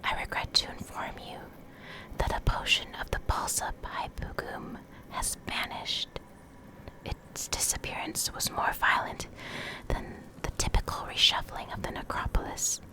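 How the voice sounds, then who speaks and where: A woman reading in a soft, breathy whisper for ASMR, gentle and measured, close to the microphone. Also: poor audio. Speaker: ASMR creator, video host and reader. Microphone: close USB condenser microphone.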